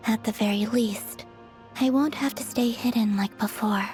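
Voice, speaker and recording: A woman playing a serene, gentle yet resolute young moon maiden in a video game, with a soft, breathy delivery. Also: a little high, moderate pace, lightly acted. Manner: gentle, solemn. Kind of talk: video game character acting. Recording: music behind the voice.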